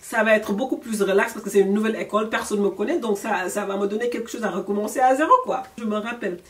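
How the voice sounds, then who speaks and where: A woman's voice: medium pitch, fast talker, recorded from a distance. A young woman from Senegal chatting candidly in a storytime vlog, laughing often and slipping English words in. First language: French. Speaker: YouTuber. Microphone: camera at chest-up framing.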